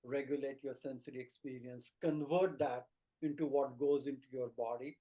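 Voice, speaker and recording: Indian accent guy, middle aged, doctor type explaining stuff in an interview, earnest, talks in short phrases, medium pitch. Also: steady tone, normal speed, mellow. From rural India. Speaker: Ayurvedic doctor and teacher, guest.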